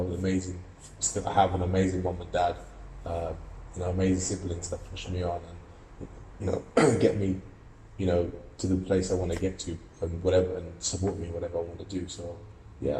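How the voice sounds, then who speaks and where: A man's voice: deep voice, monotone, a little fast, mellow, reverberant, a little hiss or hum, low-quality recording. A young man from Russia, an amateur boxer and student, giving earnest, reflective interview answers with many fillers and restarts. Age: young adult.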